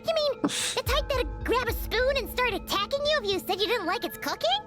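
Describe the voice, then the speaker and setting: A woman's voice: very high voice, sing-song, brisk, loud music behind the voice. A woman voicing a small, cute, childlike fairy companion in a video game, chirpy and curious. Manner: exclaims, refers to herself in third person. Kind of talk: video game character dialogue.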